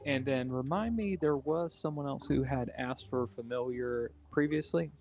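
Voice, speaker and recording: A man acting as game master of a tabletop game, explaining things in a relaxed way with plenty of ums and uhs. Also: medium pitch, normal speed. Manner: relaxed, filler-heavy explaining.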